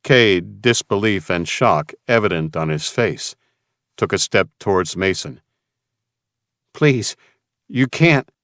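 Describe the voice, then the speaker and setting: A man's voice: low voice, measured pace, fairly bright tone, dry recording, studio quality. A man narrating dramatic fiction from a script as an audiobook reader.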